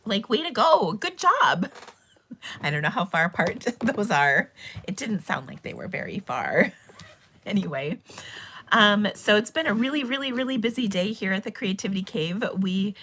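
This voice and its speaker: A woman hosting a casual live craft stream, chatty and bubbly, her voice a little hoarse because she is losing it. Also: mid-range voice, big pitch swings, moderate pace, sharp tone. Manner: chatty and bubbly, with frequent ums and laughs. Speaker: host of a live stamping and cardmaking stream.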